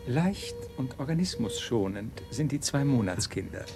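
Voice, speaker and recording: A man's voice: mid-range voice, big pitch swings, normal speed, music in the background. A man playing an unctuous colony scientist in a German science fiction radio play, speaking with theatrical reverence. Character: unctuous male colony scientist praising his bee queen.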